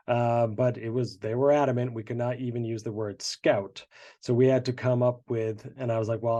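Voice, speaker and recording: A man's voice: mid-range voice, mellow. A congested man, the show's creator, chatting through behind-the-scenes commentary with many fillers. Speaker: show creator.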